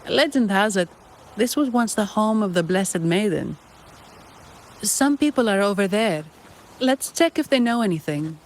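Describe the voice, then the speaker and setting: A woman's voice: medium pitch, lively intonation, measured pace, a little hiss or hum. An actress playing a composed, weary female guide in a video game, speaking in a matter-of-fact, understated way. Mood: calm, informative. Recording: loud music behind the voice.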